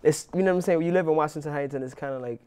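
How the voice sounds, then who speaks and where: A man's voice: on the high side, smooth. A young man, an actor being interviewed, talking casually with lots of restarts and fillers. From New York, Inwood. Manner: relaxed, halting with many restarts and fillers.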